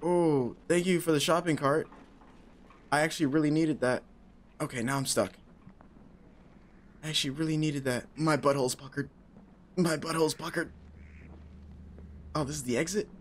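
A man's voice: on the high side, steady tone, normal speed, pauses often, slight background noise. A young man in his twenties streaming a horror game on a headset mic, joking nervously and talking to himself. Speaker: gaming streamer. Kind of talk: casual horror game commentary.

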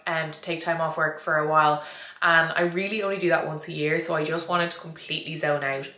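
A woman's voice: fairly low pitch, bright, forceful tone, echoey room. A young woman vlogger and fitness coach chatting to camera, nasal and bunged up with a cold, repeating words for emphasis. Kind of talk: casual vlog chat to camera. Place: small indoor room with a door behind.

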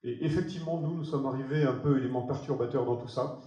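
French guy doing a keynote in a big theater, warm mellow voice, emphatic, echoey hall. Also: medium pitch, fast talker, dull audio. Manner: earnest, emphatic, moving around the stage and gesturing. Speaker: keynote speaker. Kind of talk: conference keynote talk. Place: large theater stage. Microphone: headset mic. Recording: roomy hall sound.

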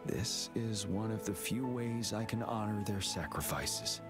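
An actor playing a stoic young male general, speaking in a solemn, measured and earnest way. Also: on the low side, loud music behind the voice, lightly acted. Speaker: game character.

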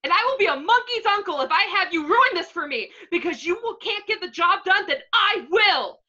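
A woman's voice: very high voice, expressive, brisk, bright, forceful tone, heavily acted. A woman voicing a ranting, egomaniacal male fashion mogul villain in a theatrical manner over a video call. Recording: muffled lo-fi video call audio.

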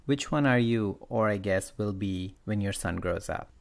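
Male podcast host, low and gravelly, moving between storytelling and casual discussion. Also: moderate pace.

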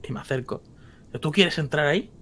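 A man at a tabletop game, talking with animated, joking energy in a slightly high voice. A faint hiss sits behind him. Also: fairly quick, creaky. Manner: animated, jokey. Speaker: player.

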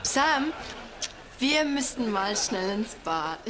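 An actress playing a bubbly, bossy young woman, bright and pushy. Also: on the high side, very animated, slow pace, background noise. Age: young adult. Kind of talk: sitcom acting.

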